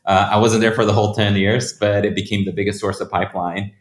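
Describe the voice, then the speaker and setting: Deep-voiced man in an echoey room. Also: little pitch movement, fast talker. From Brazil. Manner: loose and conversational, often repeats words while restarting. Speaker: guest, startup founder. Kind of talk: casual interview answers.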